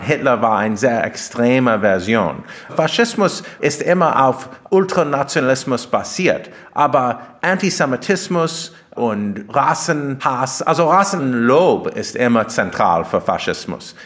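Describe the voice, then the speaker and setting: Man with an American accent, medium pitch. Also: sing-song, measured pace, few pauses, a bit bright. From United States. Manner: non-native German with small grammar slips. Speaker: interview guest, philosopher and author. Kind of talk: explanatory interview answers.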